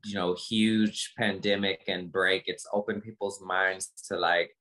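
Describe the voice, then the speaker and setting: Woman w a low voice, guest on a video call interview, opening up in an emphatic reflective way. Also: level tone, unhurried, a bit bright. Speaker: interview guest, motivational speaker. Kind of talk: reflective personal talk in an interview.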